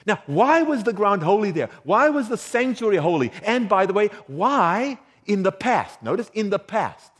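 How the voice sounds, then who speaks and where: A man's voice: sits high, sing-song, moderate pace, few pauses. A man from South Africa preaching a sermon, quizzing the congregation with questions and chuckling between his points. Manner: engaging, quizzes the audience with questions.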